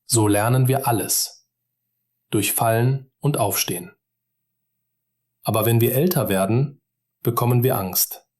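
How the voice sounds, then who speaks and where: A man tells a simple teaching story for German learners at a very slow, unhurried pace. Every sentence is short and deliberate. He turns to the listener often and coaxes them along. His voice sits in a middle pitch. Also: a little mellow, husky. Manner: deliberate, encouraging direct address to the listener.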